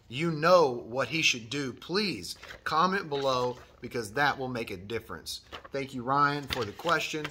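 A man's voice: mid-range voice, sharp tone, slightly rough. A man in his thirties hosting a trade advice vlog, earnest and encouraging, repeating his key points, filmed close in a decorated workshop room. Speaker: HVAC channel host. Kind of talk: advice vlog, reading a viewer email.

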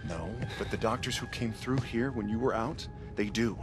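A man's voice: lowish voice, normal speed, over music, lightly acted. An actor playing a worried, exasperated lawman in his thirties in a video game, earnest and casual, sometimes hesitant. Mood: uneasy, earnest. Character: worried, exasperated older brother, a young lawman. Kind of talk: cinematic video game acting.

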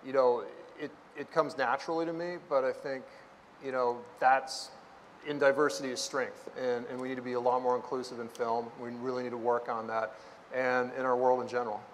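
A man on a press panel, answering in an earnest, halting way with many restarts. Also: medium pitch, flat delivery. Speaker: film director at a press panel. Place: stage of a large event hall. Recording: echoey hall with faint noise.

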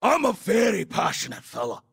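A man voicing a gruff, fiery dwarf for a video game, with a raspy voice, a Scottish accent and a hearty, blustering delivery. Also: high-pitched, sing-song, normal speed, a bit bright, exaggerated voice. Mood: boisterous joking. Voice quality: gravelly, raspy. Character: gruff, fiery, boisterous male Dark Iron dwarf. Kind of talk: video game character voice lines.

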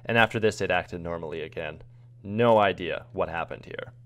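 A man's voice: low-pitched, moderate pace, clear, resonant tone. A man casually explaining what is on screen as a video editor narrating a screen recording.

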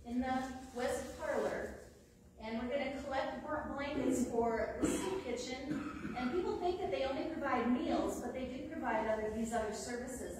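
A woman's voice: high voice, background noise. A middle-aged woman making an unscripted announcement in a church, speaking in an earnest, chatty way without a microphone. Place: large church sanctuary. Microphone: unmiked, picked up from far off. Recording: echoey sanctuary from far off.

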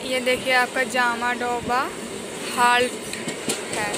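A woman's voice: a little high, flat delivery, takes it slowly. A woman vlogger narrating a local train journey, pointing out stations to viewers. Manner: points things out to viewers, repeats phrases. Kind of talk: travel vlog narration. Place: train doorway, moving local train. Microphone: phone camera. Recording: loud train noise behind voice. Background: rattling moving train.

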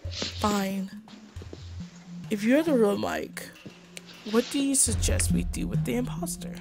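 A woman's voice: on the high side, slow. A woman hosting a let's play, reading visual novel dialogue aloud in a dramatic, acted way. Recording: music playing behind voice.

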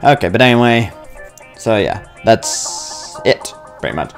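A man's voice: on the low side, very animated, measured pace, vocal fry, faint music behind. A man giving casual gaming commentary in a rambling, hesitant, informal way. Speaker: let's play gamer.

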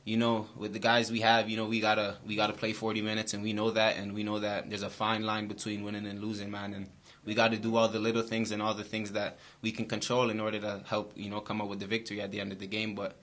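Young man, flat quick postgame answers. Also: fairly low pitch. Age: young adult. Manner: flat, steady, repeats phrases. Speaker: college basketball player. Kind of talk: postgame press conference answers. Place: small room with brick wall. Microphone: table mic in front.

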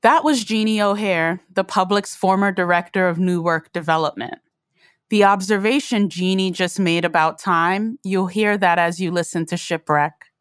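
A woman's voice: medium pitch, clear, resonant tone, no room sound, clean studio sound. A woman reading scripted narration as the host of an audio program note.

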